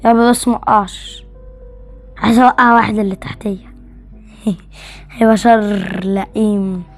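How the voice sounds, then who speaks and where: A woman's voice: a little high, steady tone, moderate pace, soft background music. A woman with an Egyptian Arabic accent co-hosting a game video in casual, teasing chatter with another player. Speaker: gaming video co-host.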